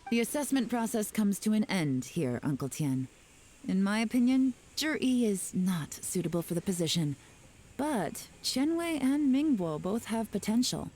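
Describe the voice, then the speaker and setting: An actress playing a cool, shrewd, confident intelligence agent in an anime game, with a composed, matter-of-fact manner. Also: female voice, medium pitch, expressive, moderate pace, a little mellow, close to an everyday voice. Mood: businesslike.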